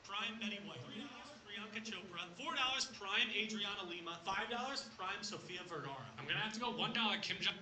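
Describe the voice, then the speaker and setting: A man in a played clip, rattling through choices in a rapid, teasing way. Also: sits high, sharp tone. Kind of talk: quick clip of game-style picks. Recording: echoey, distant clip.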